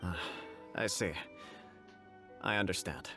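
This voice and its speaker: An actor playing a courteous, dignified government official in a video game, speaking formally and deliberately. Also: male voice, deep voice, pushed and bright, exaggerated voice. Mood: gracious, composed. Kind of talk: anime-style video game dialogue. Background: music.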